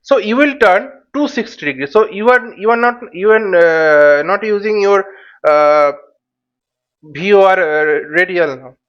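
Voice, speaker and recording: A man giving an informal step-by-step tutorial as an instructor, speaking haltingly and repeating his words and phrases. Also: sits high, smooth. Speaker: flight simulation instructor. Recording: dull, lo-fi microphone sound.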